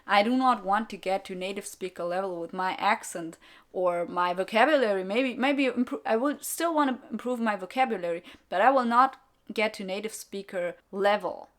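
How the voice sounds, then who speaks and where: A woman's voice: medium pitch, moderate pace, fairly bright tone. Young woman podcaster, english isn't her first language, blunt and opinionated, kinda sarcastic. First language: not English. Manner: blunt, candid, mocks other creators with sarcastic asides. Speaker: podcast host and YouTuber. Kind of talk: opinionated solo podcast monologue.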